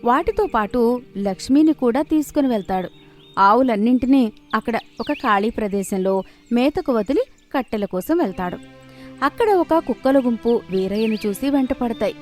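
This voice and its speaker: A woman's voice: on the high side, animated, moderate pace, a little mellow. A woman narrating a scripted moral story. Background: faint background music.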